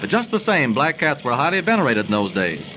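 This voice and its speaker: An actor playing a wry, slightly pompous, learned friend telling a legend in 1930s radio style. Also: male voice, medium pitch, wildly swooping pitch, a little fast, sharp tone. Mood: storytelling. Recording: noisy old 1930s radio recording.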